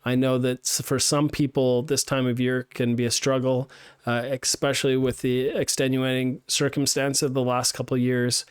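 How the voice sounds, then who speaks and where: Guy teaching level design on a stream, smooth clear voice, dry close mic, bit hoarse. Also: medium pitch, level tone, moderate pace, no echo. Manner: thinks aloud while playing, many fillers and restarts. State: losing his voice. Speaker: game design instructor. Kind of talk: live-streamed playtest feedback.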